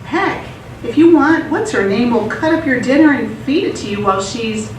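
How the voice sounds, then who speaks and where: A woman's voice: on the high side, lively intonation, normal speed, natural voice. A woman acting a scheming, confident sister in a live radio theatre play. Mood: coaxing, smug. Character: scheming, confident sister conning her brother for a cabal. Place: theatre stage. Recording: echoey stage, distant mic, faint noise.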